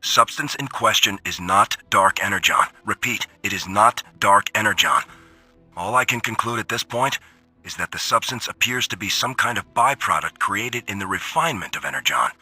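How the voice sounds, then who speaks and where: Gruff, raspy robot soldier. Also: male voice, fairly low pitch, animated, moderate pace, pushed and bright, heavily acted. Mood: clipped, businesslike. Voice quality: gravelly, raspy. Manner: terse, matter-of-fact, gruff. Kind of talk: scripted in-character audio log.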